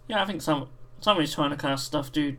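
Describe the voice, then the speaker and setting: A British man with a croaky voice hosting a livestream, chatting casually and reading out viewer messages while painting miniatures. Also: on the high side, a little fast. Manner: relaxed, reads out chat messages. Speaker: livestream host.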